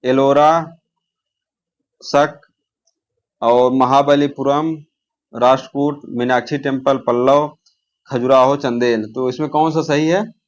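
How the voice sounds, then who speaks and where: A man's voice: medium pitch, steady tone, slow pace, pauses often, dull audio. A man teaching an informal exam-prep lesson, posing questions, answering them himself and repeating key terms.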